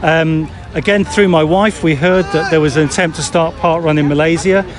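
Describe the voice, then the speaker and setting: A man's voice: a little high, fairly even intonation, moderate pace. A man from the UK with a British accent, answering questions in a friendly, hesitant way and often repeating words, recorded outdoors in a park. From United Kingdom. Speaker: run director. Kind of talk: casual interview. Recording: outdoor recording with lots of voices nearby.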